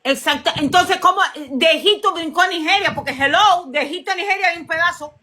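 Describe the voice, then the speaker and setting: A speaker with a very high, bright voice presses questions insistently. The tone is sharp and clear. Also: a little fast. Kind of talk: casual religious debate.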